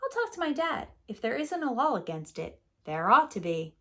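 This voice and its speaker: A woman's voice: sits high, very animated, normal speed, pushed and bright, voice pushed up, exaggerated voice. A woman voicing a keen, earnest young bear cub in a children's storybook. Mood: indignant. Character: keen, idea-filled young bear cubs.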